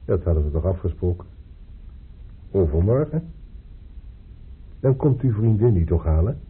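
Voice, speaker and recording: An actor in a radio drama playing a calm, reasoning hospital doctor, measured, polite and gently instructive. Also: male voice, sits low, husky, full character voice. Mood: polite, reassuring. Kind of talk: Dutch radio drama acting. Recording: muffled, phone-like old radio play recording.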